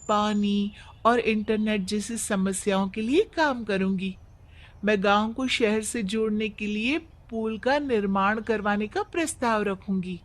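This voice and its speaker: Slow, earnest young village girl, acted by a woman. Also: mid-range voice, close to an everyday voice. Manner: earnest, polite. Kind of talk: Hindi cartoon moral story acting.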